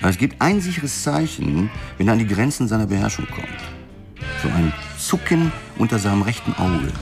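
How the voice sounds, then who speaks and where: Laid-back bar guy, lowish voice, slangy and teasing, radio play w music behind. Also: very animated, normal speed, gravelly. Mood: chatty, frank. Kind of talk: German radio drama acting.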